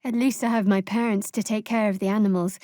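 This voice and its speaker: A woman voicing a guarded, wry young female cleric of a dark goddess in a video game, speaking in a measured, dry way on a close mic. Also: mid-range voice, steady tone, dry recording, lightly acted. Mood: somber. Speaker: fantasy adventurer companion. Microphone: close, dry studio mic.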